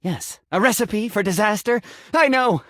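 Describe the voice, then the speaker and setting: A man's voice: high voice, moderate pace, a bit bright, no room sound, clean studio sound. A male actor voicing an eccentric, frantic talking sink, secretly a cursed man, in a theatrical video game style with melodramatic, pompous declaiming. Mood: storytelling, rueful. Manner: melodramatic, absurdist, swinging between pompous declaiming and shrieks.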